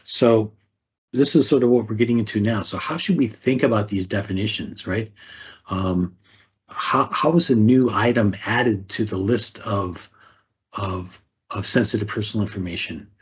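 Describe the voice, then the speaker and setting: A man's voice: on the low side, normal speed, breathy edge, highs cut off. A man leading a talk on privacy, posing rhetorical questions and often tagging them with "right?". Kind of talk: conversational presentation.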